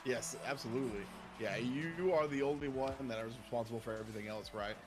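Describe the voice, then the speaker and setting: A man's voice: medium pitch, quick pace, over music. Explanatory man commentating a match. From California. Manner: explanatory, says "right?" often. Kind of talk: casual game commentary.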